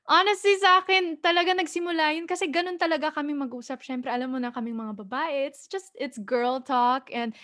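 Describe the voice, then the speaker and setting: A young woman with a high, light voice talks with lively warmth, mixing English and Tagalog as she answers interview questions. The sound is dry and close, with no room around it. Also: fast talker, hardly any pauses, no room sound. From United States. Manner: animated, mixes English and Tagalog, laughs often. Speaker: singer-songwriter guest. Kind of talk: press launch interview.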